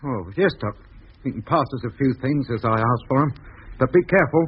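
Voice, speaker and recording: An actor playing a cheeky, down-to-earth spaceship radio operator in a 1950s radio drama, colloquial and a little hesitant. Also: male voice, mid-range voice, animated, a little fast, slightly rough. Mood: wry, busy. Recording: dull, muffled 1950s radio recording.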